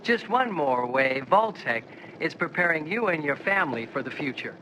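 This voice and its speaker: An actor playing a confident retro corporate pitchman, chipper and polished in a scripted promotional style. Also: expressive, moderate pace, sharp tone, a little hiss or hum, low-quality recording. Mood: upbeat, selling. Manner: polished, salesman-like.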